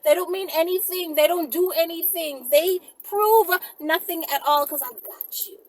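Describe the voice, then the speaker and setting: A woman's voice: extremely high pitch, moderate pace, rough recording. Blunt, sassy woman chatting casually. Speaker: host answering viewer questions. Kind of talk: casual question-and-answer chat. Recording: faint background noise and room ambience.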